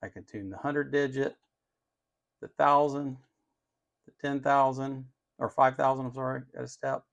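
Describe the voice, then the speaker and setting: A man's voice: medium pitch, fairly even intonation, moderate pace, creaky, long pauses. A man hosting an unscripted tech demonstration, explaining step by step in an opinionated way with emphasis on key words. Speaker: host of an electronics channel.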